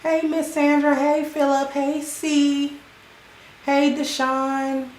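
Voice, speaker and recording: A woman hosting her channel, chatting casually with viewers and greeting them by name, her voice sounding hoarse. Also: high voice, flat delivery, takes it slowly, darkish tone, a little hiss or hum. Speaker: channel host. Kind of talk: casual livestream commentary. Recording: roomy echo with faint music.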